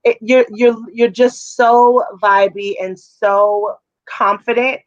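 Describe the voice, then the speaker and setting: A woman with a raspy voice giving casual, effusive feedback as a judge at a music showcase. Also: on the high side, fairly even intonation, slow, no room sound, lo-fi sound. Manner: effusive, chatty, full of fillers.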